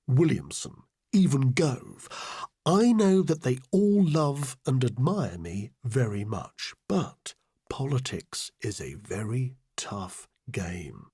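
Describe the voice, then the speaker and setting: A scheming, self-important political adviser in a satirical audiobook diary. The delivery is slow and deliberate, with very animated pitch swings under a deadpan, exasperated sarcasm. The voice is a little husky and closely, drily recorded. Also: male voice, no room sound, clean studio sound, exaggerated voice. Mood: coolly menacing. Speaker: audiobook reader. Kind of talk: satirical first-person diary audiobook narration.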